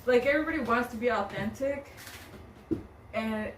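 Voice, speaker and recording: A woman's voice: a little high, moderate pace. A woman from Tijuana co-hosting a podcast in casual chat, talking chattily with lots of "like.". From Tijuana, Mexico. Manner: chatty, uses 'like' a lot. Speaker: podcast co-host. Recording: echoey room, mic at a distance, faint noise.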